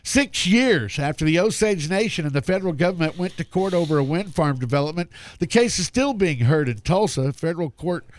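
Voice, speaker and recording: A man's voice: on the high side, animated, brisk, hardly any pauses. A man hosting a radio talk show, reading news in a folksy, chatty way and stumbling now and then over words.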